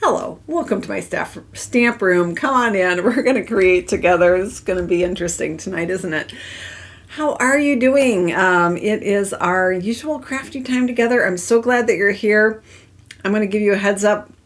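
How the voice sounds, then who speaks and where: A woman's voice: medium pitch, normal speed. A middle-aged woman with a cold, congested and a little weak-voiced, chatting casually as she hosts a live stamping craft lesson from her craft room. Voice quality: voice not strong, cough drop in mouth. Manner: chatty and friendly, many fillers, laughs at herself. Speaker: craft demonstrator hosting a live stream.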